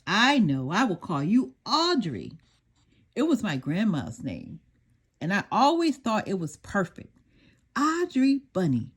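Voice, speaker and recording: A woman voicing an excited, affectionate little girl in a children's storybook, sweet and eager. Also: mid-range voice, a little slow, slightly rough, heavily acted.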